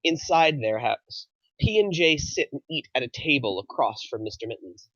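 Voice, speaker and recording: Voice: medium pitch, lively intonation, moderate pace, a bit bright, no echo. A narrator reading out stage directions from a film script at a table read. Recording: dry but dull, muffled audio.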